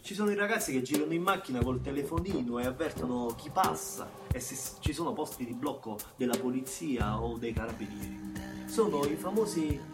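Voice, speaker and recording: A man's voice: mid-range voice, lively intonation, brisk, recorded from a distance, lightly acted. An actor playing a bitter, plain-spoken young man from a small Camorra town, in a colloquial theatrical monologue with a Campanian accent and emphatic repetitions. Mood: matter-of-fact, bitter. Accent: southern Italian, Campanian. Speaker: stage actor. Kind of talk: theatrical monologue, first-person narration. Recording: music behind the voice. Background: plucked guitar music.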